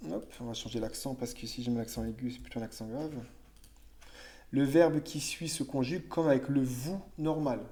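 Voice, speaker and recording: A man's voice: mid-range voice, fairly even intonation, normal speed, mellow. A man teaching French grammar to children in a conversational way, thinking aloud and correcting himself as he goes.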